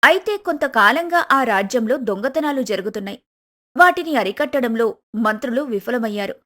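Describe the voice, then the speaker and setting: Female narrator for scripted tales, pitched a little high. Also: lively intonation, normal speed, a bit bright.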